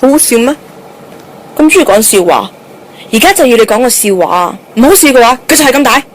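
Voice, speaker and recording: A woman's voice: a little high, sing-song, fast, poor audio. A woman playing an aggrieved young job seeker with a short fuse in an amateur radio drama, with a Hong Kong Cantonese accent. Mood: indignant, furious.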